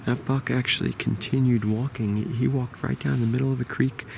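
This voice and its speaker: Man, 40s, New York accent, gravelly low voice, hushed outdoor vlog, faint background noise. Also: fairly even intonation, moderate pace. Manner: quiet, low-key explaining. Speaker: bowhunter. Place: outdoors in winter woods. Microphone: camera held close to face.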